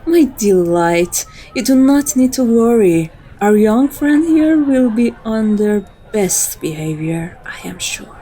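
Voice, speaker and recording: A woman's voice: on the high side, animated, slow, hardly any pauses, warm tone, poor audio. A woman playing a playful, seductive vampire in an ASMR roleplay, with a teasing, coaxing and intimate manner. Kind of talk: scripted ASMR roleplay acting.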